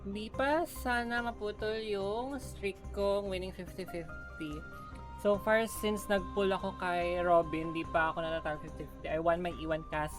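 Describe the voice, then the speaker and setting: A woman's voice: mid-range voice, fairly even intonation, moderate pace. A woman with a Filipino accent chatting casually on a game livestream, switching between languages. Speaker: game streamer. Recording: game music faintly behind.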